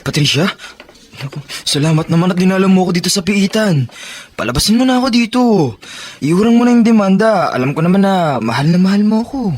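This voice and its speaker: A Filipino actor playing a desperate young gold-digger in prison, pleading frantically in a radio melodrama. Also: male voice, lively intonation, quick pace, darkish tone. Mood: wheedling. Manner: high, wailing, frantic pleading. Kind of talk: Filipino radio drama acting.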